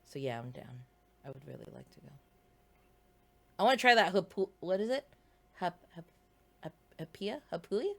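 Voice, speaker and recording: A woman's voice: lowish voice, very animated, normal speed, pauses often, fairly bright tone. A woman co-hosting a casual podcast, asking curious questions and trailing off as she thinks. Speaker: podcast co-host. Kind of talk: casual chat.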